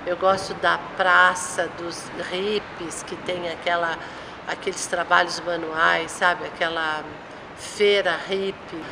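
A woman's voice: on the low side, level tone, gravelly. A Brazilian woman in her fifties answering thoughtfully in a street interview, listing examples one by one. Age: 50s. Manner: slow, thoughtful, lists items. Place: outdoor train station. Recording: outdoor traffic noise.

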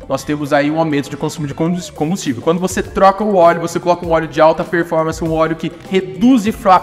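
A young man presenting car maintenance tips in a brisk, insistent explanatory way, often repeating key points, recorded on a phone camera in a workshop. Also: on the high side, big-room sound. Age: young adult. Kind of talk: explanatory how-to talk. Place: indoor auto workshop.

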